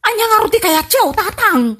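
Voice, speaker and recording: A woman with an Ilocano accent playing a fussing, easily shocked adult daughter in a comedy radio drama, questioning and expressive. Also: extremely high pitch, moderate pace, full character voice. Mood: coaxing.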